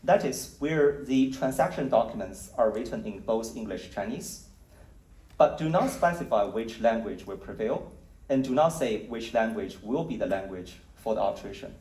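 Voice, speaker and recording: A man presenting survey results at a conference, speaking in a measured, explanatory way with occasional fillers. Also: on the low side, expressive, darkish tone. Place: conference hall. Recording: roomy hall audio, mic at a distance.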